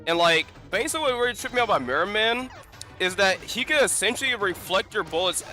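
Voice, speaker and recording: Male gaming streamer, bright, slightly high voice, rambling casual commentary, game music behind. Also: expressive, quick pace. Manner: rambling, many fillers and restarts.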